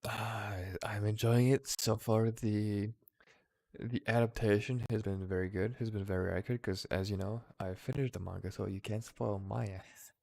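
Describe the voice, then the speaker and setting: A man's voice: fairly low pitch, fairly even intonation, normal speed, gravelly, a little mellow, no echo. A man co-hosting a casual discussion of anime, speaking informally and swearing now and then. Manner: informal, profane. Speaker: podcast co-host. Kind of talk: casual chat about anime.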